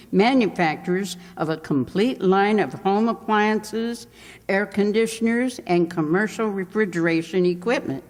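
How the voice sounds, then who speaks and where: A woman playing an old-time radio show announcer, reading a sponsor's ad in a measured, formal sales delivery. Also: medium pitch, close to an everyday voice. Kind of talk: 1940s radio announcing, scripted ad read. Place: stage in a hall before a live audience. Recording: echoey hall, long reverb.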